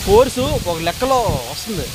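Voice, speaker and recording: A young man, chatting casually and excitably to camera as a travel vlogger, in slangy, repetitive phrases. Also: high-pitched, brisk. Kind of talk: casual vlog chat to camera. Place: outdoors on forest trail and by waterfalls. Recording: outdoor handheld recording with background noise.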